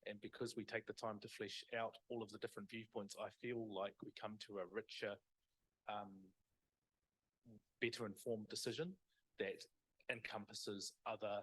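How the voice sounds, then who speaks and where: Hesitant low-voiced New man. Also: little pitch movement, normal speed, fairly bright tone, husky, low-quality recording. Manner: hesitant, many restarts. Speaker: school board member. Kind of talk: answering audience questions. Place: parish hall.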